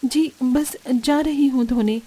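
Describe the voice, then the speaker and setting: Woman as a high-voiced put-upon young daughter-in-law. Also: fairly even intonation, takes it slowly, dark tone. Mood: meek. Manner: meek to elders, grumbling alone. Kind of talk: Hindi cartoon family drama.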